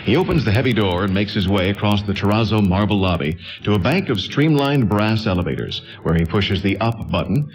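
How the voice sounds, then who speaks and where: Low-voiced man narrating a radio drama. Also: expressive, brisk, few pauses, low-quality recording.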